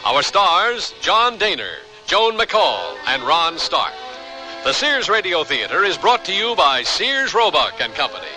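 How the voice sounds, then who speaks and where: Radio announcer guy, high voice, very animated, music going behind him. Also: measured pace, poor audio.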